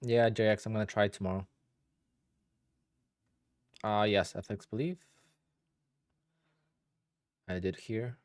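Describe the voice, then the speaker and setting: Low-voiced man chatting on a livestream. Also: normal speed, clear, resonant tone, no room sound, clean studio sound. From Poland. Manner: relaxed, rambling, thinks aloud with restarts. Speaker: day trader streaming live. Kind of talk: casual livestream chat answering viewers.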